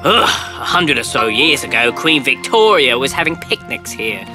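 A woman voicing a friendly, hurried green alien in a children's cartoon, quick and excitable. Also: a bass voice, music in the background. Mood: chatty. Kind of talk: cartoon voice acting.

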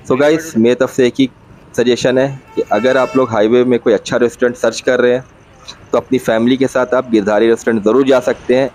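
A man's voice: medium pitch, fairly even intonation, fairly quick, a little mellow, slight background noise, poor audio. A man in his thirties from India, casually narrating a family travel vlog at a roadside restaurant in the evening and recorded on a phone camera. He is chatty, repeats his points, and mixes English words into Hindi.

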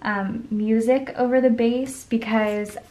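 A young woman with a voice going hoarse chats casually while she decorates her planner at a desk at home. She rambles and counts under her breath as she works. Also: on the high side, fairly even intonation, slow, a little mellow. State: losing her voice. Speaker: planner vlogger. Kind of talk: casual plan-with-me chat. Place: home desk, camera overhead.

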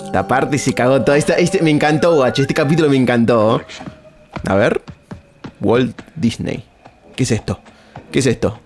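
A man's voice: medium pitch, normal speed, long pauses, a little mellow. A man with an Argentine accent giving casual live reaction commentary, exclaiming in slang and repeating his phrases. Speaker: streamer reacting to video. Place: indoor room at streaming desk. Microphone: close desk microphone on boom arm. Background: cartoon music.

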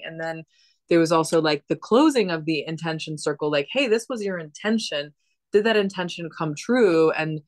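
A woman's voice: sits low, no echo. Chatty woman on a dry video call. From Dominican Republic. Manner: chatty, lots of "like" and restarts, animated hand gestures. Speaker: podcast guest. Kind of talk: casual storytelling conversation. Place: living room at home. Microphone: laptop webcam on video call.

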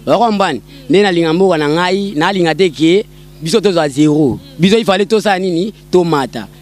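A man's voice: sits high, brisk. A Congolese man speaking proudly and emphatically about his country's team into a handheld mic outdoors. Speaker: interviewee, football fan. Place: outdoors on a city street. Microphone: reporter's handheld mic.

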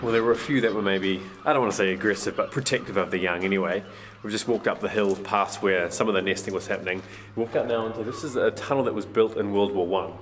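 Chatty thirties guy vlogging outside, lowish voice, faint outdoor hum behind him. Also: fast. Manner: chatty, excitable, bursts of loud enthusiasm. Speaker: travel vlogger. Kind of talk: casual travel vlog chat. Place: outdoors on a windy island and village streets. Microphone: camera mic, handheld selfie framing.

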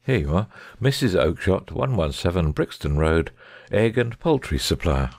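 Audiobook drama: cool Victorian detective male actor, low and expressive voice, unruffled, close dry recording. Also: normal speed, no room sound, full character voice. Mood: matter-of-fact. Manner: careless, bland, unruffled. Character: shrewd, self-assured Victorian gentleman detective.

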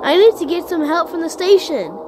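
A woman voicing an anxious young detective in an amateur game cutscene, with earnest, slightly halting delivery. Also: extremely high pitch, big pitch swings, noisy background, pitched above the performer's own voice. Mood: alarmed, uneasy. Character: anxious young detective player exploring monster-infested buildings.

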